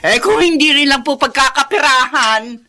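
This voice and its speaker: An actress playing a spiteful, insolent mistress, snide and bratty, in a Filipino radio drama. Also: female voice, sits high, expressive, moderate pace, bright, forceful tone. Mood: snide, hostile.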